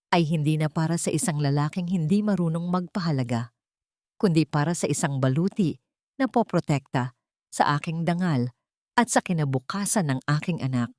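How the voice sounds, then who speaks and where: A woman's voice: low voice, darkish tone, no room sound, studio quality. A woman narrator voicing a wronged mother who is resolute and coldly proud, in a solemn, dramatic story delivery.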